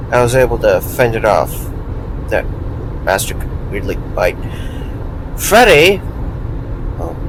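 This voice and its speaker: A man playing a gentle, reassuring former night worker in an amateur audio drama, speaking with a hesitant, stammering delivery. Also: mid-range voice, background noise.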